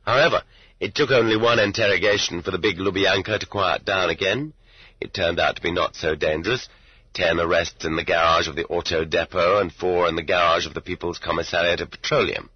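Deep, husky-voiced male audiobook narrator. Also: fairly even intonation, brisk. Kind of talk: scripted literary narration. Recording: dull lo-fi roomy cassette audiobook.

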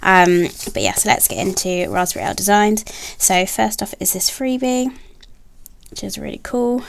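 A young woman chatting casually about planner stickers, soft and gushing, with many ums and likes. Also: medium pitch, expressive, moderate pace, vocal fry, a little hiss or hum. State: has a bad cold, nasal and throaty. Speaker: planner sticker haul vlogger. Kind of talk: casual haul chat. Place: indoors at a table, filming her hands.

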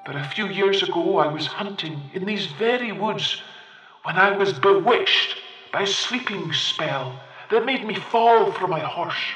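A man playing a gentle, burdened young knight under a fairy spell, speaking softly and formally. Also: sits high, animated, measured pace. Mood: grave. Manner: soft, grave, formal old-fashioned speech. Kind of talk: storytelling. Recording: roomy, distant mic with music.

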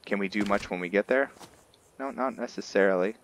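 A man's voice: fairly low pitch, fairly quick, slight background noise. A man giving casual commentary on his roleplay playthrough, explaining things to viewers and often answering his own questions. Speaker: gamer narrating a roleplay playthrough.

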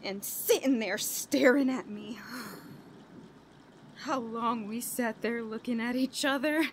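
An actress playing a frantic, haunted woman on the edge of madness in a horror radio play, halting and overwrought. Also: fairly high pitch, slight background noise. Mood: horrified, brooding. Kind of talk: 1940s radio horror acting, dramatic monologue.

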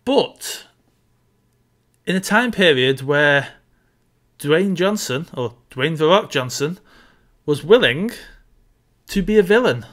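A man in his thirties with a bright, slightly higher voice talks casually about a film on a home video call. He is chatty and wry and pushes back on his co-host when they disagree. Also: expressive, slow pace, pauses often. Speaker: podcast co-host. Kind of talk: casual film-review chat. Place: home room.

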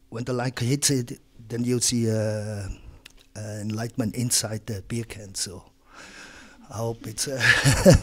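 A middle-aged man from Switzerland speaks very slowly and haltingly on a gallery panel. His voice sits at a medium pitch, and he holds a handheld mic. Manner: slow, halting. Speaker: panel artist. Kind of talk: panel discussion. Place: gallery room with audience.

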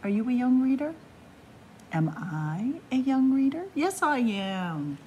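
A woman's voice: sits high, very animated, takes it slowly, a little mellow, a little hiss or hum. A woman reading a picture book aloud at story time, playfully talking straight to young children in a sing-song way. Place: public library room among bookshelves.

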